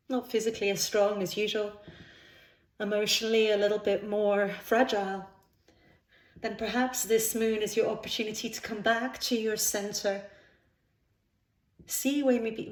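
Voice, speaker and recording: Woman guiding meditation in an echoey room. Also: medium pitch. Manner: soothing, unhurried phrasing with pauses. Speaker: yoga nidra instructor. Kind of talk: guided relaxation meditation. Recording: roomy, echoing sound.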